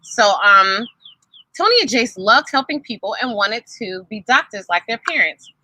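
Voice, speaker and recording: Bright, animated woman reading to children. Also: a little high, normal speed, low-quality recording. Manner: animated, asks the children questions as she reads. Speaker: storyteller reading a children's book aloud to listening children. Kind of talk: expressive read-aloud with conversational asides to children.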